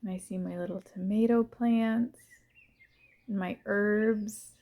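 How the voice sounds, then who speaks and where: A woman speaks very slowly in a soft, relaxed voice with frequent creak. She guides a calming visualization in short, gentle phrases, leaving space between them. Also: medium pitch. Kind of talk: slow, soothing first-person guided visualization.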